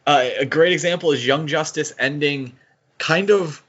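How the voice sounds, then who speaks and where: A man's voice: fairly high pitch, normal speed, fairly bright tone, dull audio. A man co-hosting a podcast in casual, analytical discussion, hedging and thinking aloud as he goes. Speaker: podcast co-host.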